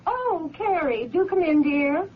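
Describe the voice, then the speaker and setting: A woman's voice: very high-pitched, animated, a little slow. A woman playing an older, fond and fretful mother in an old-time radio drama, genteel and fussing. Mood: fond, worried. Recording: muffled old radio recording, faint noise.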